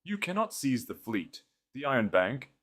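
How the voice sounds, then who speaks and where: A frantic imprisoned noblewoman played by a man, pitched up from a deep male register. The voice is cracked and croaky, pleading and defiant in a period audiobook. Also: sing-song, normal speed, a bit bright. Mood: desperate denial. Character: desperate, broken young noblewoman prisoner, frantic and defiant. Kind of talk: audiobook drama.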